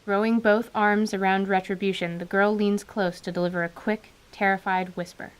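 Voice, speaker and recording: A woman narrating a fantasy radio drama with lively storytelling. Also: mid-range voice, moderate pace, clean tone.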